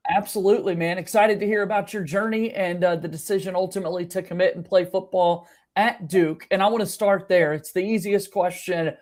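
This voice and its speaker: Give me a man with a fairly high voice for his sex, hosting a sports podcast interview. He asks his questions in an upbeat, friendly way and keeps the conversation moving. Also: fairly even intonation, brisk, few pauses. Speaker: podcast host.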